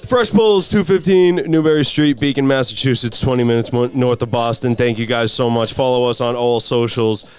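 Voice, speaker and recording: A man hosting a casual podcast, telling jokey stories in an animated way. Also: mid-range voice, moderate pace, hardly any pauses, faint music behind. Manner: joking, animated storyteller. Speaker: podcast host, card shop owner. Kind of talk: casual chat.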